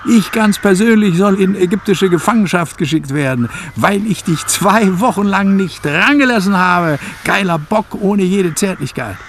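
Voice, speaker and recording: A man's voice: sits high, normal speed, hardly any pauses, slight background noise. A man acting in a radio drama as an embittered scholar, a preacher's son, reminiscing with sardonic, theatrical mockery. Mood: mocking. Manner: sardonic, theatrical, quotes others mockingly. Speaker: interviewee.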